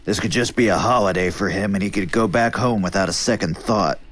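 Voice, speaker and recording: Very deep-voiced skeptical young prince. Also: male voice, fairly quick, fairly bright tone. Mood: concerned, dry. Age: young adult. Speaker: actor in an audio drama. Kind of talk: audio drama dialogue.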